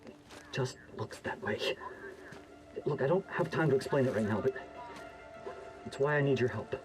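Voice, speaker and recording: A man playing an anxious government research scientist in a hazmat suit, with halting, stammering speech, in cinematic video game acting. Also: medium pitch, over music, close to an everyday voice. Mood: edgy, secretive.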